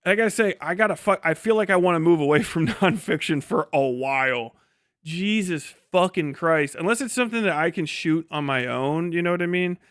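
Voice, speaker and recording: A man's voice: on the high side, lively intonation, normal speed, a bit bright, no room sound, clean studio sound. A tired man casually chatting and ranting on a livestream as its host, rambling and bluntly swearing. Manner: rambling, blunt, heavily profane. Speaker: livestream host and video creator.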